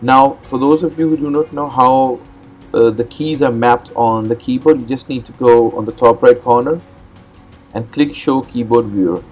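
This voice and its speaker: A man whose first language is Urdu hosts a step-by-step computer tutorial, explaining in a relaxed, plain way with frequent "uh"s and repeated words. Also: medium pitch, moderate pace, soft background music. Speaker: host. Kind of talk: step-by-step screen tutorial narration.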